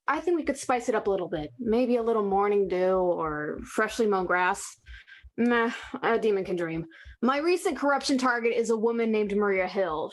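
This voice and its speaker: A woman's voice: a little high, lightly acted. A woman playing a breezy, drily amused demon in a theatrical comic monologue, with casual, wry delivery.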